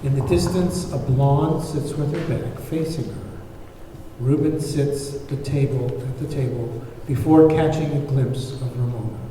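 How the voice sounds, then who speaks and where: A man's voice: medium pitch, unhurried. A man narrating the stage directions of a script at a table read. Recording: very echoey hall, far mic, faint noise.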